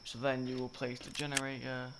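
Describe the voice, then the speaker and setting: A croaky, slightly sick young man rambling through a game-building tutorial, often correcting himself. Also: medium pitch, completely flat delivery, a little fast. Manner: rambling, self-correcting, filler-heavy. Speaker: gaming tutorial creator. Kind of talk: casual gameplay tutorial commentary. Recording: loud game noise behind. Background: game sound effects and clicks.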